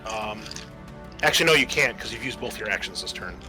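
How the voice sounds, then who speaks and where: A man's voice: on the low side, lively intonation, fairly quick. A man running a tabletop role-playing game, narrating the action theatrically and casually. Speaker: game master. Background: music.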